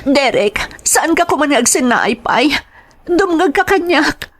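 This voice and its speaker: A woman's voice: sits high, animated, unhurried, fairly bright tone. An actress playing a desperate young mother pleading for her sick child. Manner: pleading, tearful. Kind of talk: Ilocano radio-style drama acting.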